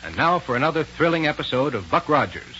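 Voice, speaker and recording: A man's voice: fairly high pitch, sing-song, quick pace, sharp tone. A man announcing and narrating in a dramatic, punchy 1930s radio style, on a muffled, noisy old recording.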